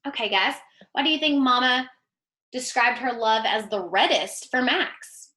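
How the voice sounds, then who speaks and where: A woman giving an expressive, teacherly picture-book read-aloud and putting questions to young listeners. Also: on the high side, unhurried. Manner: animated, teacherly. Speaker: teacher reading aloud to children.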